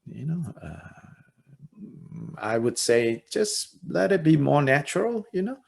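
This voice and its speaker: Man, 50s, Malaysian English accent, husky, hesitant, wry teacher. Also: fairly high pitch, sing-song, unhurried, a little mellow. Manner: hesitant, full of "uh" fillers, wry self-deprecating humor. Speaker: dharma teacher. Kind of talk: informal teaching and Q&A.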